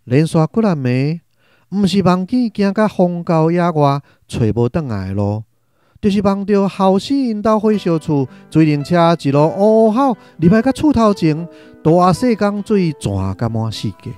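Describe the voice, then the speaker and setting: A man's voice: high voice, sing-song, moderate pace, darkish tone, clear, resonant tone, soft background music. A man with a Taiwanese accent narrating a literary radio drama from a script in a dramatic style.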